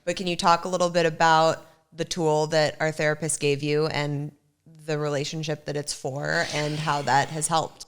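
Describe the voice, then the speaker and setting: Low-voiced woman hosting, smooth and frank. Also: little pitch movement, normal speed. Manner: frank and casual, swears freely, prompts her guest. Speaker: podcast host. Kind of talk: casual podcast chat.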